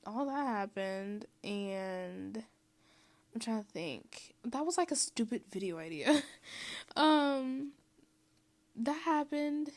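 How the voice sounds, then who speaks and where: A young woman from Mexico giving a casual, rambling voiceover, full of "um" and "like" and quick laughs. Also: a little high, lively intonation. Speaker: vlogger.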